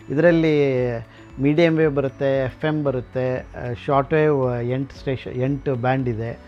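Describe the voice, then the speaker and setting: A man with a slightly high-pitched voice talks as a collector showing what he owns. He explains and tells stories in a conversational way, dropping in English words. The sound is a little dull and lo-fi, with soft music underneath. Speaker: radio collector and museum keeper. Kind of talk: informal explaining and storytelling about a collection.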